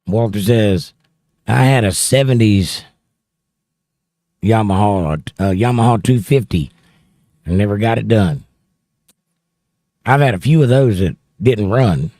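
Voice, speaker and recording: A man's voice: on the low side, lively intonation, unhurried, pauses often, mellow, no echo. A man hosting a casual livestream, reading viewer comments aloud and chatting on about them into a close microphone. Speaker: host reading live chat. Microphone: close studio mic, held near the mouth.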